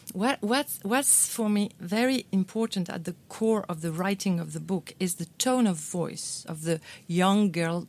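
A woman's voice: on the low side, a little mellow. A woman, an author from France, answering interview questions at a bookstore event in a thoughtful way, often repeating words as she searches for them. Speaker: author guest at a book club.

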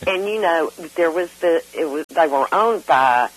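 An older woman with a hoarse voice, chatting casually and excitably as a caller on a radio talk show. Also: low voice, a little slow, rough recording. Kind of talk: casual, jokey chat.

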